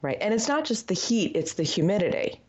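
A woman's voice: mid-range voice, very animated, a little fast, darkish tone, breathy edge. A woman with a Midwestern accent co-hosting a podcast, chatting and asking her co-host questions. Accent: American Midwestern. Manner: conversational, asks questions, repeats for emphasis. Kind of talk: casual chat. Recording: dull lo-fi sound.

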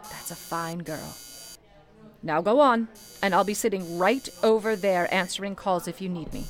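A woman acts as a motherly switchboard supervisor in an old-time radio play. Her voice sits at a middle pitch. She instructs briskly but with a steadying, nurturing kindness. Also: music in the background, natural voice. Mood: encouraging.

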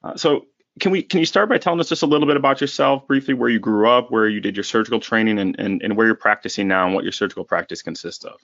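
A man's voice: on the low side, fast talker, a bit bright, no room sound. A man hosting a podcast, reading a guest's bio with admiration and asking questions, hesitating with frequent uhs. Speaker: podcast host. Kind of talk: interview intro and questions. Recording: dull, dry sound.

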